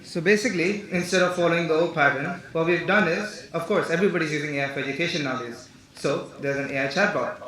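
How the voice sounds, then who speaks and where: Brief: male presenter, slightly higher voice, talks it through casually and asks himself questions; roomy echo. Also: fast talker, fairly bright tone. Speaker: hackathon team presenter. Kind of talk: elevator pitch to an audience. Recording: echoey hall.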